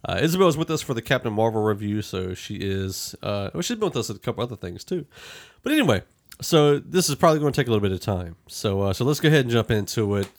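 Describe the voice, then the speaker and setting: A man with a slightly low voice hosts a podcast review. He talks informally and rambles a little, in the relaxed style of a group chat among friends. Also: quick pace. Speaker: podcast host.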